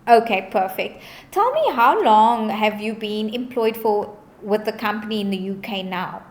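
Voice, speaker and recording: A woman's voice: mid-range voice, animated, moderate pace. A woman, a bond originator talking a client through a home loan in an upbeat, encouraging, reassuring way. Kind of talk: client consultation call.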